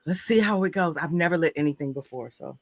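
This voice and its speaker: A woman's voice: sits low, fast talker, creaky, no room sound. A woman co-hosting a casual livestream, upbeat and chatty as she tells stories about her week. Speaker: co-host.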